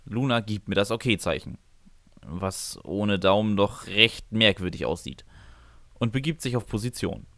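A man's voice: on the low side, lively intonation, moderate pace, creaky, fairly bright tone, no room sound, studio quality. A man reading scripted first-person comic narration for a fan fiction audio story.

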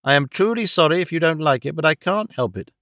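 A man's voice: on the high side, expressive, fast talker, a bit bright, dry recording, clean studio sound. A man voicing a haughty, defiant young woman in an audiobook, a wilful daughter speaking with pointed, lofty formality. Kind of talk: audiobook character acting of a Victorian novel.

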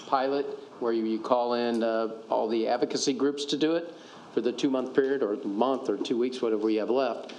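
A middle-aged man chairing a council meeting, briskly calling on speakers and summing up options. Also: mid-range voice, darkish tone, slight background noise. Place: council chamber. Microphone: desk microphone.

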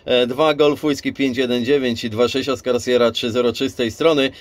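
A man's voice: mid-range voice, fairly even intonation, normal speed. A man chatting casually as an amateur radio operator, rambling a little and repeating himself. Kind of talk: casual radio contact chat.

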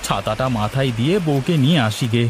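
A man voicing a fretful, food-loving village husband in a cartoon, with an exclamatory, stammering, drawn-out delivery. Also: mid-range voice, expressive, natural voice. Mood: fretful longing. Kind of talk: cartoon dialogue acting. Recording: music bed behind voice.

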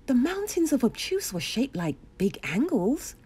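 A woman voicing an eager, brave young squire boy in a storybook, excited and full of wonder. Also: high voice, moderate pace, breathy edge, pitched above the performer's own voice, heavily acted.

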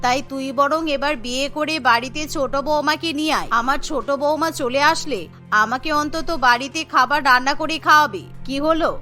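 A woman's voice: high voice, fairly quick, pushed and bright, soft background music, pitched below the performer's own voice. A woman voicing a disapproving, traditional middle-aged mother-in-law in a cartoon, firm and admonishing. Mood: pressing.

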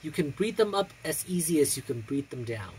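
A man with a husky voice and a theatrical, deliberate delivery, speaking indoors into a phone a short distance away. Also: on the high side, big pitch swings, a little mellow, a little hiss or hum. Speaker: drag performer and reader. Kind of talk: casual vlog chat and expressive poetry reading. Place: small room indoors. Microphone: phone on a stand a short distance away.

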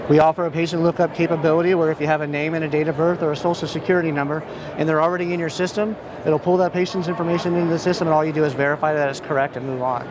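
A man's voice: on the high side, fairly even intonation, very fast. An American man in his thirties giving an informal product demo, explaining step by step in a matter-of-fact way. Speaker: software company representative giving a product demonstration. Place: large indoor expo hall. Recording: noisy busy trade show floor. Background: clear ambient hall noise.

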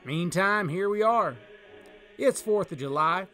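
Man acting out a loud folksy frontier orator, high-pitched. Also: animated, measured pace, faint music behind, heavily acted. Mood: hearty, folksy. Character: folksy, patriotic frontier man orating to a crowd. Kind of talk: public speech in a storybook reading.

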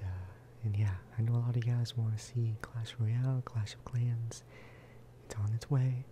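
A man giving a relaxed, casual ASMR gameplay commentary in a soft, breathy whisper, close to the microphone. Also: fairly low pitch, slight background noise. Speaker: video game streamer and host.

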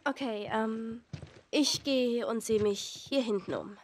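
A woman's voice: fairly high pitch, slow pace. A woman playing a plucky, sarcastic teenage girl survivor in a dubbed video game, casual and sardonic. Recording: game soundtrack with faint noise.

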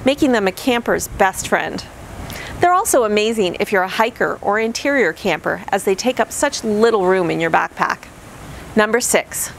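A woman's voice: mid-range voice, slight background noise. Upbeat middle-aged woman presenting camping tips. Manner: upbeat, clearly enunciated presenter delivery. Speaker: vlogger. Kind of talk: reading a scripted tips list to camera. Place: outdoors beside a camper trailer.

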